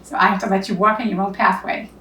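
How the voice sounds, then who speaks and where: A woman's voice: mid-range voice, little pitch movement, fast, bright, forceful tone, far from the mic, a little hiss or hum. Older woman with a Massachusetts accent. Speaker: filmmaker's mother, guest. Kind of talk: casual chat. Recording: roomy sound, a bit off-mic.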